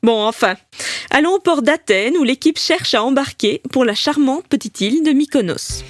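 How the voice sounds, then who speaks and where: A woman's voice: sits high, lively intonation, moderate pace. A woman as the wry, theatrical narrator of a comic audio drama, teasing about the heroes in scripted storytelling.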